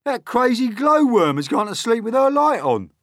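A man voicing a bossy, bad-tempered male centipede who shouts shrilly, in a storybook reading. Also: extremely high pitch, big pitch swings, normal speed, no room sound, clean studio sound, voice pushed up, heavily acted. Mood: irritable.

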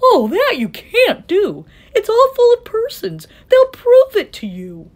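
A woman's voice: mid-range voice, sing-song, slow pace, mellow. A woman voicing an earnest, loyal, big-hearted male elephant in a storybook, emphatic and pleading. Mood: alarmed, urgent.

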